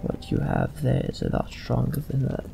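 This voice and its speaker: A man doing in-character roleplay commentary for a let's play, speaking in a measured way as the leader of a fantasy party. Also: mid-range voice, huge pitch swings, vocal fry, background noise. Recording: game music behind the voice. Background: fantasy video game music.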